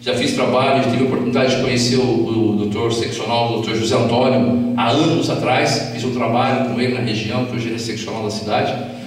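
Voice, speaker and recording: A man's voice: medium pitch, steady tone, a little fast. A middle-aged man, giving an earnest, informal public speech with emphatic repetition. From São Paulo state, Brazil. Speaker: private detective, guest speaker. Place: small council chamber, indoors at a lectern. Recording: echoey hall, distant mic, humming noise.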